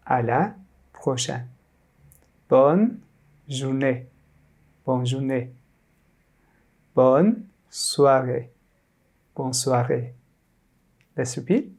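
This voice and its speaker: A teacher in their thirties from Turkey, leading a repeat-after-me lesson and saying each word clearly, then again. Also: medium pitch, a little mellow. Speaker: French teacher.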